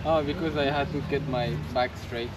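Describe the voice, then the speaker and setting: A man's voice: medium pitch, fairly even intonation, brisk. A man in his thirties from France with a French accent, chatting casually as an interviewee. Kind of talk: casual chat. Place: outdoors by a beach. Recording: noisy outdoor beachside recording.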